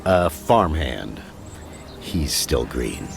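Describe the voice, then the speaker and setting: Gravelly, deep guard captain. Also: male voice, sing-song, drawn-out words, music in the background, heavily acted. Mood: businesslike. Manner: blunt, commanding. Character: gruff, demanding male captain of the town guard. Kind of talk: dramatic video game cutscene acting.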